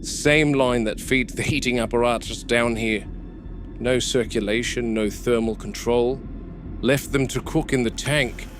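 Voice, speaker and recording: A man playing a weathered game warden who speaks tersely, quiet and subdued when upset. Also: mid-range voice, steady tone, a little slow, natural voice. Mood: grim. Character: weathered male park game warden, grim, practical. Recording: noisy, with crickets and insects behind.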